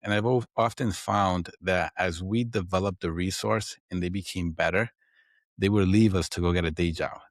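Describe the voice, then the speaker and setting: A man's voice: low-pitched, steady tone, normal speed, no echo, clean studio sound. A man from the Dominican Republic with a Dominican Spanish accent, a podcast guest explaining his work and often tagging his points with "right?". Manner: explanatory, frequent "right?" tags. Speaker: guest, accounting firm owner. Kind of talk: podcast interview.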